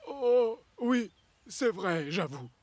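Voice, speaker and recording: A man's voice: sits high, expressive, drawn-out words, a little mellow, dry recording, heavily acted. A man voicing a timid, anxious, apologetic little tram engine in a cartoon, hesitant and flustered. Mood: worried, confessing. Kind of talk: French cartoon dub acting.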